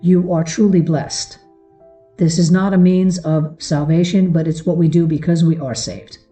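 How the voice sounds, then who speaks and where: Low-voiced woman in an echoey room. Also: steady tone, normal speed, warm tone, soft background music. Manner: earnest, affectionate address to listeners. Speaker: host of a religious teaching show. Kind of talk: informal devotional talk to followers. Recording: roomy echo, far from mic.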